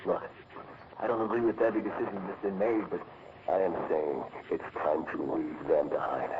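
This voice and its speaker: A man's voice: low-pitched, flat delivery, moderate pace, phone-quality sound, noisy background, lightly acted. A man voicing a hardened, power-hungry rogue agent with intense persuasion in a dramatic game cutscene. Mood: grim, resolute.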